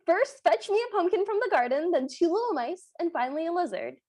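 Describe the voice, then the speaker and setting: Brisk, high-voiced fairy godmother, a woman quoting her. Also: expressive, darkish tone. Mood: brisk, bossy.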